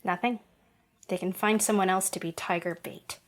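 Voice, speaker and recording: A woman's voice: medium pitch, steady tone, moderate pace, natural voice. Disillusioned young actress, wry and wounded, played by a woman. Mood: resigned. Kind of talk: audio drama acting.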